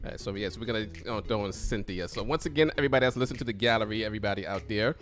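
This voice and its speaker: A man's voice: on the low side, rapid-fire, fairly bright tone, faint music behind. A man hosting a live radio show, talking informally with a guest and often circling back to thanks and recaps.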